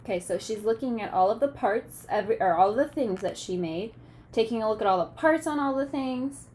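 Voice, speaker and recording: A woman teacher reading a picture book aloud to children, expressively, pausing to ask questions and check understanding. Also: a little high, expressive, a little mellow, slight background noise.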